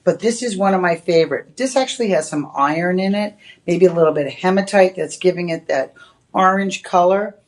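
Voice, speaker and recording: A woman with a Boston accent, hosting a live home shopping show and pitching products in a chatty, rambling way. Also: brisk. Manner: chatty, rambling, with many "you know"s. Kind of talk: live on-air sales pitch. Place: TV shopping studio.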